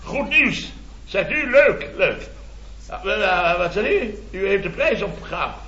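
An actor playing a blustering, exasperated boss of a building firm in a radio comedy, indignant, sputtering and repeating himself. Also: male voice, sits high, animated, unhurried, sharp tone, full character voice. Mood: flustered. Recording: dull old radio recording, roomy, studio audience.

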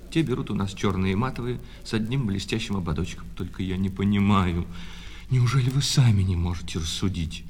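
An actor in a radio play voicing a lovesick shop clerk who swings between polite sales patter and bitter reproach. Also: male voice, fairly low pitch, a little mellow, close to an everyday voice. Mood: obliging. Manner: servile sales patter alternating with reproachful, insistent talk. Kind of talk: Russian radio play of a classic short story. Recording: long reverb with music behind.

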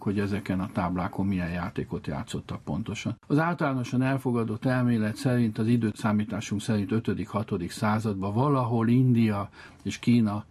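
Low, husky man explaining formally. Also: darkish tone. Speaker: interviewed chess organiser. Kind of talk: explanatory interview talk.

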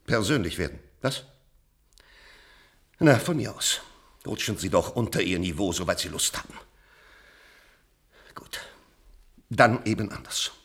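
An actor playing an impatient, patronizing doctor in a science-fiction radio play, mocking and blustering. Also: male voice, lowish voice, lively intonation, unhurried, long pauses, fairly bright tone. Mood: cold, dismissive. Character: condescending, impatient male doctor, an irritable overseer of minds.

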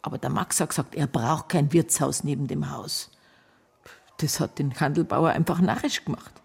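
An actress playing a secretive small-town wife in a radio drama, with a Bavarian accent and a hushed, hesitant, husky delivery. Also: female voice, low-pitched, normal speed, full character voice. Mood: hurried, resigned. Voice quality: husky, breathy.

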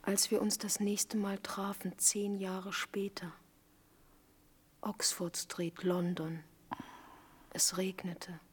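An actress playing a naive, blunt young survivor in a German radio play, speaking in a hushed, plain, questioning way. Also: female voice, medium pitch, level tone, takes it slowly, long pauses, breathy edge. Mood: quietly remembering. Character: Marischka, naive, blunt young girl, later remembering survivor.

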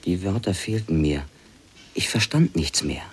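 An actor playing a bookish, politely reserved young French traveller in a radio drama, reflective and hesitant. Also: male voice, low voice, expressive, measured pace, slight background noise. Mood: reflective, puzzled. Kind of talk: radio drama, inner monologue and dialogue.

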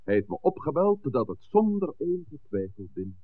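A man's voice: on the high side, big pitch swings, normal speed, phone-quality sound. An actor playing a serious man in a 1960s village radio drama. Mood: solemn, measured. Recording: thin, noisy old radio recording.

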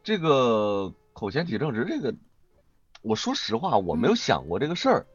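Guy chatting in an interview, really lively intonation, makes his point then repeats it, bit muffled, faint music. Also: mid-range voice, moderate pace, husky, dry recording. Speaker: voice actor guest. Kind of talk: casual interview chat.

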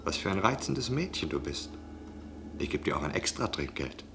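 An actor playing a lecherous, flattering tavern guest in a radio drama, coaxing and teasing in a gravelly voice. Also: male voice, low voice, normal speed, noisy background, full character voice. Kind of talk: German radio drama acting.

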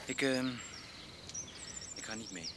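An actor playing a naive, shy young farm lad in a radio drama, hesitant and stammering, stubborn but easily cowed. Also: male voice, fairly low pitch, animated, takes it slowly, heavy background noise. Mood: stubborn longing. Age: young adult.

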